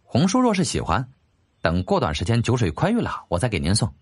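Casting: male narrator as shrewd, cheeky young lord and merchant, animated delivery, historical audiobook. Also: medium pitch, brisk, breathy edge. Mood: placating. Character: cheeky, shrewd young nobleman turned businessman.

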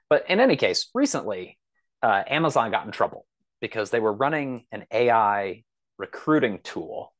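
A man with a fairly low voice chats as an interview guest. He explains things discursively, wandering into examples and asides. The sound is dry and close, with little room to it. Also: no room sound. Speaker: podcast guest, science fiction author. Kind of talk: casual podcast interview talk, explaining.

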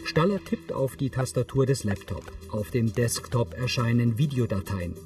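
A man's voice: medium pitch, expressive, normal speed, over music. A man reading scripted audio description in a matter-of-fact, articulate way. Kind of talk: scripted narration.

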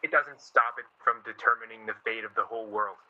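An actor playing an earnest, gently teasing young newspaperman of the 1940s in a radio play. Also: mid-range voice, brisk, muffled recording.

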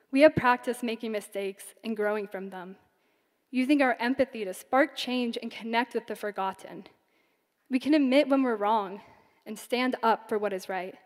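Young woman giving a graduation speech. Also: on the high side. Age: young adult. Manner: earnest, measured delivery. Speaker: class valedictorian. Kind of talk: commencement speech. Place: large concert hall stage. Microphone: podium microphone. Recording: long hall reverb.

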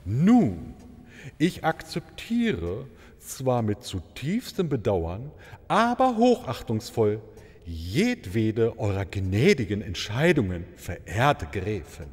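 A man playing a courteous, theatrical maharaja in a comedic audio drama, with a husky voice and ornate, deferential phrasing. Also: lowish voice, wildly swooping pitch, slow pace, a little mellow, heavily acted. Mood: courteous regret. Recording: long hall reverb.

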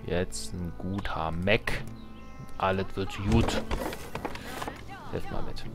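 Low-voiced man doing casual game commentary. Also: slow, music in the background. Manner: jokey, slangy, talking to himself and to game units. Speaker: let's player.

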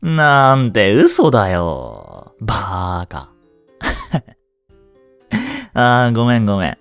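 A man acting a cheeky, laid-back high-school slacker in a romantic audio drama, with casual, teasing, blunt slang. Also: sing-song, slow pace, gravelly, a bit bright, no room sound, faint music behind, exaggerated voice. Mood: teasing, pushy.

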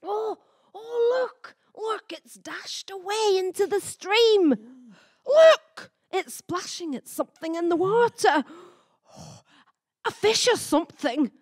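Actress as a high-voiced, nagging older wife. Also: female voice, animated, slow, darkish tone, dry recording, clean studio sound, exaggerated voice. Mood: excited, wondering. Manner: complaining, exclamatory. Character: nagging, restless older wife on a car outing. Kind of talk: radio play acting. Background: car and vehicle sounds.

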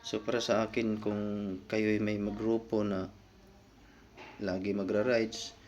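A man's voice: fairly low pitch, flat delivery, a little slow, darkish tone. A man talking casually as a vlogger, explaining things step by step and addressing his viewers informally. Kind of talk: casual explainer vlog talk.

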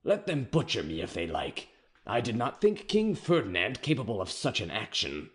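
A man reading an audiobook, voicing a proud, defiant captive king who speaks in a scornful, measured way. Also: lowish voice, wildly swooping pitch, fairly bright tone.

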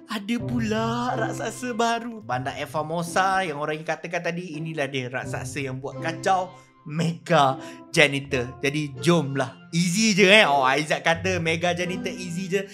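High-pitched Malaysian man commentating on a game. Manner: exclamatory, animated reactions. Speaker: gaming video host. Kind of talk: excited gameplay commentary.

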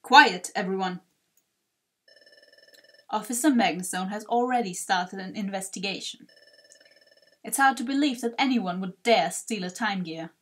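A woman voices a bossy bird second-in-command from a video game. The delivery is formal and brisk, handing out instructions over background music. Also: medium pitch, pitched below the performer's own voice, natural voice. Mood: stern, businesslike.

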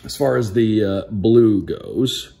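A man's voice: lowish voice, takes it slowly, vocal fry, warm tone. A man doing casual unboxing commentary with an upbeat, announcer-like delivery, calling out names like a sports broadcaster. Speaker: hockey play-by-play broadcaster hosting a card unboxing.

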